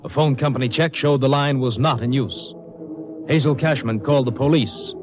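A dull old radio recording with prominent music under the voice. An American man of medium pitch reads scripted narration in 1950s crime drama style. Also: normal speed.

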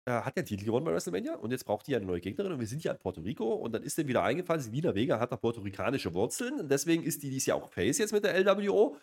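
A man's voice: mid-range voice, big pitch swings, racing, few pauses, a little mellow. A man co-hosting a wrestling review in casual, unscripted banter, with a sarcastic, mock-enthusiastic way of recapping. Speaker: wrestling show review co-host.

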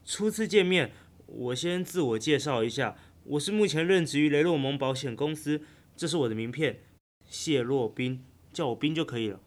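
A composed, slyly playful insurance agent in a radio drama, voiced by a man. His is relaxed, and he speaks with unbothered calm. There is a teasing smugness under every polite phrase. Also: a little high, moderate pace, natural voice. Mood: calm, persuasive. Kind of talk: audio drama acting.

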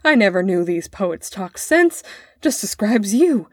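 A woman's voice: mid-range voice, sing-song, unhurried, a little mellow, dry recording, clean studio sound. A woman voicing a stern, sarcastic father who is pleased with his own wit in a storybook. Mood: roaring mirth. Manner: grim, sarcastic, emphatic.

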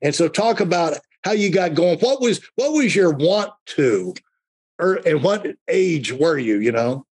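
A man hosting a conversational podcast interview, talking in a halting, rambling way and often rephrasing himself. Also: on the high side, lively intonation, darkish tone, husky.

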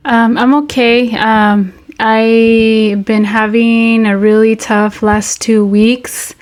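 Slightly high, raspy woman, hoarse, hesitant co-host. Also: flat delivery, takes it slowly. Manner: hesitant, many ums. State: hoarse from talking. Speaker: podcast co-host. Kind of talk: casual chat.

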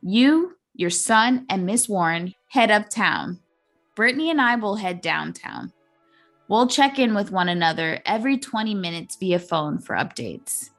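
A woman's voice: medium pitch, expressive, unhurried, a bit bright, soft background music. A woman voicing a decisive, take-charge young man in a storybook.

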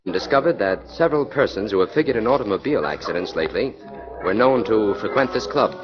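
An actor playing a shrewd, self-assured detective in a confident, matter-of-fact way, in a 1940s radio drama. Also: male voice, fairly low pitch, expressive, quick pace, natural voice. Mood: explaining. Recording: dull old radio broadcast with music.

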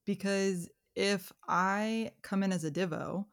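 A woman's voice: medium pitch, slow. A woman co-hosting a podcast, talking in an earnest, reflective and self-deprecating way. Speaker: podcast co-host. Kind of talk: casual podcast conversation.

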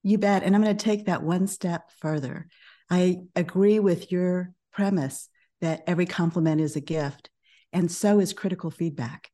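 A middle-aged woman speaking as a podcast guest, a business coach who talks in a calm, measured way. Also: on the low side. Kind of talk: podcast interview.

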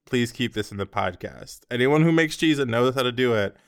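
An American man hosting a podcast in easy casual chat, upbeat and quick to laugh. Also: medium pitch, lively intonation, dry recording, clean studio sound. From United States. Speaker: podcast host.

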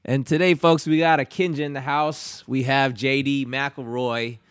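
A man hosting a casual podcast interview, relaxed and friendly, with plenty of fillers as he introduces his guest. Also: medium pitch, normal speed, clear, resonant tone, no echo, clean studio sound. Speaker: podcast host.

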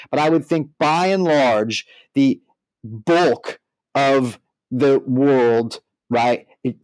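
A man's voice: medium pitch, darkish tone, no room sound, low-quality recording. A man co-hosting a casual discussion, explaining his opinions step by step and checking in as he goes. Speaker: podcast co-host and band manager. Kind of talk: casual music industry discussion.

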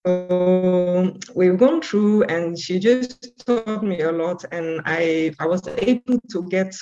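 A woman's voice: fairly low pitch, takes it slowly, mellow, soft background music. A woman guest author who speaks English as a second language, answering earnestly with many hesitant ums. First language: not English. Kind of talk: interview answers. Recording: dull lo-fi audio.

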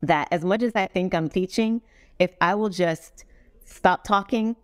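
A woman's voice: lowish voice, unhurried, no room sound, studio quality. A middle-aged woman guest speaking earnestly and thoughtfully in a casual interview. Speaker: guest, executive director of a foundation.

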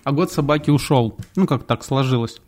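A man co-hosting a podcast, making dry jokes in unhurried casual chat. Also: mid-range voice, darkish tone. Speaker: podcast co-host.

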